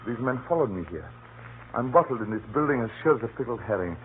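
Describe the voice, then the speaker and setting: A man's voice: mid-range voice, expressive, quick pace, close to an everyday voice. A man playing a fearful, hunted little informant, secretive and nervously insistent, in a 1930s radio drama. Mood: resigned dread. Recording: muffled, noisy 1930s radio recording. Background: faint hiss and noise.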